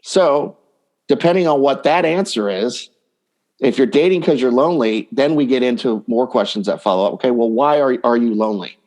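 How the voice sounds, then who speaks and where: A man's voice: mid-range voice, animated, brisk, darkish tone. A man speaking as a dating coach guest in a conversational podcast interview, explaining points by voicing hypothetical quotes.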